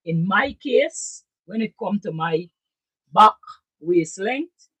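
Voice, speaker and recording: A husky, hoarse woman talks through a casual live sewing lesson, filmed on a camera set in front of her. She repeats herself and keeps asking "right?" The room sounds dry and close. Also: lowish voice, no echo, poor audio. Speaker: sewing and pattern-drafting teacher.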